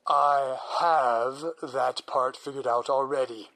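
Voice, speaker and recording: A man's voice: mid-range voice, steady tone, slow, fairly bright tone, poor audio. An actor playing a single-minded male scientist who explains his plans in an earnest, persuasive way. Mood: eager. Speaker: researching psychologist. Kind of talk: audio drama acting.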